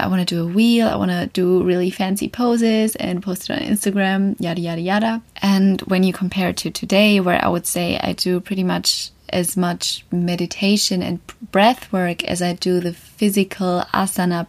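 Young German-accented woman, gravelly voice. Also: fairly low pitch, a little mellow. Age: 20s. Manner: chatty, laughs between phrases, frequent ums. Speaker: podcast host. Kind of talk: casual solo podcast talk. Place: small wardrobe.

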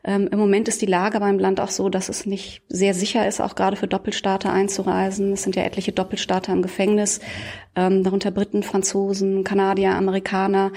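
Fast-talking woman explaining calmly. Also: medium pitch. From Iran, raised in Germany. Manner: fluent, explanatory, frequent fillers. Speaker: Iran researcher, guest. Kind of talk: interview answers.

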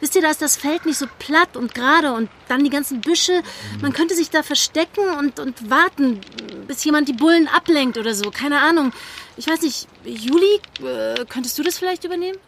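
An earnest young activist with a high, girlish pitch. She talks in halting, colloquial bursts, as in a naturalistic audio drama, with faint noise behind her. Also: a bit bright. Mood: focused planning. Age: young adult. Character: earnest, agitated young female climate activist.

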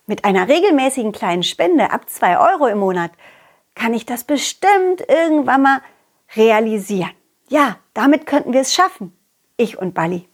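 Woman as an animated, high-voiced excitable dog. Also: normal speed. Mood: friendly, hopeful. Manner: animated, giggly, childlike enthusiasm. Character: confident, excitable, loyal young dog hero telling stories. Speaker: puppeteer and storyteller. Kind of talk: children's audio play narration, addressing listeners.

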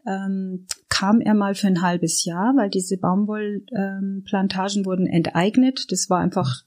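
A woman's voice: mid-range voice, steady tone, measured pace, a little mellow. A middle-aged woman from Iran reminiscing casually as an interview guest, reflective and often searching for her words.

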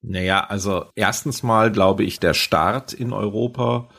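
A man's voice: fairly low pitch, unhurried, gravelly, a bit bright. A man in a casual podcast discussion, telling long anecdotes and rambling explanations. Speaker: podcast guest, former industry insider.

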